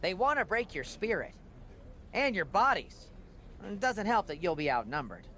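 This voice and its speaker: A man's voice: high-pitched, very animated, normal speed, bright, forceful tone, full character voice. A man playing a chatty, awkwardly joking wrestling official in a video game, wordy and rambling. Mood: earnest, wary. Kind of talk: video game cutscene dialogue.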